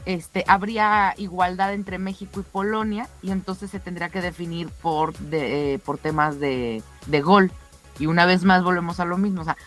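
A woman with a Mexican accent presents sports news as a radio co-host. Her delivery is conversational and chatty, speaking straight to her colleagues. Music plays softly under her voice. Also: fairly low pitch. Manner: chatty, addresses co-hosts directly.

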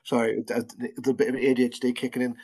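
A man's voice: mid-range voice, level tone, rapid-fire, a little mellow, breathy edge. A podcast host in his fifties with an ordinary mid-range voice. He speaks casually and halts often, filling the gaps with small fillers as he frames his questions. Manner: friendly, hesitant questioning with fillers. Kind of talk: casual interview chat.

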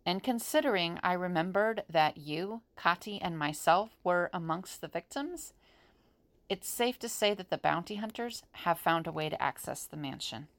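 A woman playing a grave, commanding female seer in an audio drama, speaking in a measured, serious way. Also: on the low side, animated.

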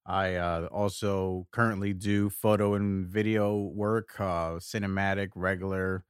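A man's voice: low voice, flat delivery, measured pace, clear, resonant tone, dry recording, studio quality. A man in his thirties co-hosting a podcast, speaking in an easygoing, hesitant way full of "like"s. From Puerto Rico, raised in Connecticut. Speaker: podcast co-host. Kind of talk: casual chat.

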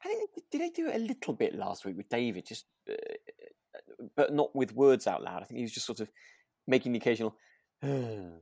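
A man's voice: medium pitch, wildly swooping pitch, fairly quick, creaky, dark tone, breathy edge, no room sound, clean studio sound. A man co-hosting a podcast, chatting casually in tentative, hesitant phrases. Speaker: podcast co-host. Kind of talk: casual chat reviewing a radio drama.

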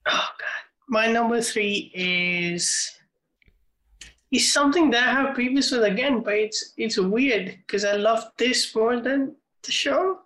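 A man's voice: very high voice, unhurried, pushed and bright, echoey room, rough recording. A man co-hosting a film podcast, rambling through casual chat with lots of filler words. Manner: rambling, many "like" fillers, laughs often. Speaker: podcast co-host.

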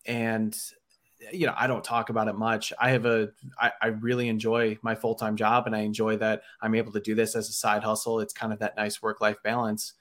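A man's voice: on the low side, completely flat delivery, a little fast, a bit bright. A man hosting a casual sports podcast, friendly and easygoing. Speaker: podcast host.

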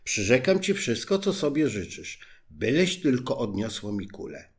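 A man voicing a spoiled, fretful young princess in a fairy tale, with high exclaiming speech. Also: big pitch swings, moderate pace, natural voice. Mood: haughty.

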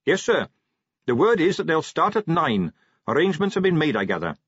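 A man's voice: a little high, big pitch swings, a little fast, a bit bright, breathy edge, no room sound, lo-fi sound, voice pushed up, heavily acted. A man voicing an earnest, dutiful watch captain who is polite, deferential and sincere in an audiobook. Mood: dutiful, brisk. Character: earnest, dutiful six-foot young male watch captain.

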